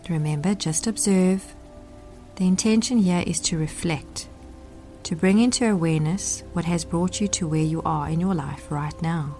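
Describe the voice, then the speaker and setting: Low-pitched woman guiding meditation over music. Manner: measured, gentle, deliberate phrasing with pauses. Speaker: meditation guide. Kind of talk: guided meditation narration, instructional.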